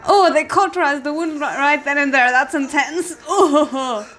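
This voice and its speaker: A woman giving casual reaction commentary as a host, thinking aloud with expressive exclamations. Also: high voice, a little slow, faint music behind. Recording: noticeable background noise.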